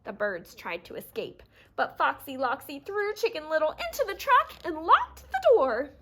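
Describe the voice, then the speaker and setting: A woman reading a children's story aloud in an animated, theatrical storytelling manner. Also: sits high, moderate pace. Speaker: storybook reader. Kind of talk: expressive scripted children's read-aloud.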